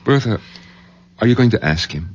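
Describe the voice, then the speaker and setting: An actor playing a sensible, skeptical village doctor and husband in a radio drama, with a husky voice and questioning manner. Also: male voice, on the low side, expressive, moderate pace, darkish tone. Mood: concerned, matter-of-fact. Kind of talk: 1970s radio drama acting. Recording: dull old radio recording.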